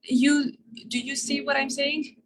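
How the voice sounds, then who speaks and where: A woman's voice: high-pitched, level tone, measured pace. A woman from Afghanistan moderating a panel discussion, speaking hesitantly with many fillers and restarts. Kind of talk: panel discussion, personal remarks.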